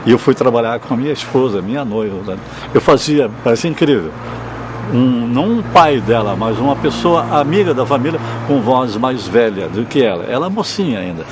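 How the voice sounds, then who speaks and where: A man's voice: mid-range voice, expressive, fairly quick, background noise. An older man, a former radio drama actor, reminiscing in an interview. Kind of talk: nostalgic interview recollection.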